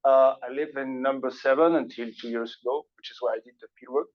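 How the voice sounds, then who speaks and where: A man's voice: mid-range voice, fast talker. A man from Belgium whose first language is French, giving an academic talk in a seminar room with a casual, hesitant delivery. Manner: relaxed conversational lecturing, frequent hesitations. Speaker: guest speaker. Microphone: passed microphone. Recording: dull lo-fi lecture recording.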